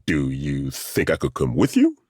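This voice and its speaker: An actor playing a burly, good-humoured ageing masseur turned adventurer in a video game, with a husky voice and a folksy, self-deprecating, joking way of talking. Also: male voice, extremely low pitch, huge pitch swings, mellow, no room sound, clean studio sound, exaggerated voice. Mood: eager, friendly. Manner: folksy, joking, chuckling, drawn-out hesitations. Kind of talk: video game character dialogue.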